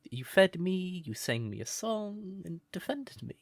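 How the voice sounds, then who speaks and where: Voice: mid-range voice, sing-song, unhurried, dry recording. A performer voicing a shy, smitten crow turned human in an intimate comfort roleplay, hesitant and stammering, naively earnest. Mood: earnest, admiring.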